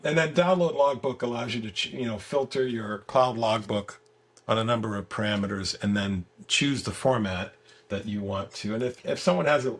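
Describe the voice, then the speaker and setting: A man with a gravelly, husky voice giving a casual software walkthrough as the guest, halting and repeating words as he explains. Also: fairly low pitch. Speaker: software creator giving a product demo as guest. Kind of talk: casual screen-share walkthrough.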